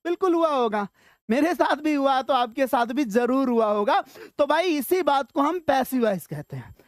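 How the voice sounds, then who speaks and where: High-pitched Indian woman teaching. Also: expressive, normal speed, no echo, clean studio sound. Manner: chatty, encouraging, checks understanding often. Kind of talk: informal grammar lesson mixing Hindi and English.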